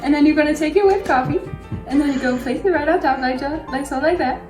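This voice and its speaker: A woman's voice: high voice, quick pace, distant mic. A woman giving a how-to demonstration, recorded in a roomy space with music behind her.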